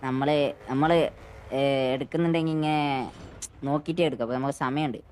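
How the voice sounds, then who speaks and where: Quick-talking gamer. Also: medium pitch, fairly even intonation, a little mellow, a little hiss or hum. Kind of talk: improvised in-game roleplay negotiation. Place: online game voice chat.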